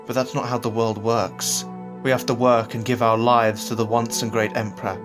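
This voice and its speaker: An actor playing an injured, bitter young husband in an audio drama, proud, strained and despairing. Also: male voice, medium pitch, little pitch movement, moderate pace, music in the background, lightly acted. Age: young adult.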